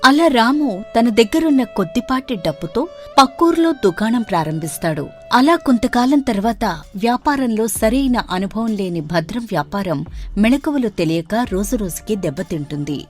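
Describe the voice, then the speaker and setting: A woman's voice: a little high, expressive, normal speed, faint music behind. A woman reading scripted narration for a moral story as its narrator.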